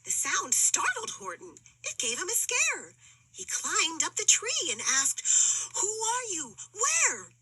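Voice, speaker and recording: Very high, bright woman reading stories. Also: huge pitch swings, a little slow, low-quality recording. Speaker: storybook narrator. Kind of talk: expressive rhyming children's story reading.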